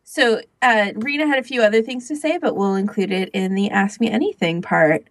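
A woman's voice: medium pitch, brisk. A woman co-hosting a podcast in casual chat, talking informally and peppering her sentences with "like". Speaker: podcast co-host.

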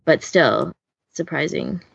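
Creaky-voiced woman, lively and lowish. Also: slow pace, no echo. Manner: self-deprecating, hedging, rambling. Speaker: podcast host. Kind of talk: casual podcast chat. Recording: dull, dry close recording.

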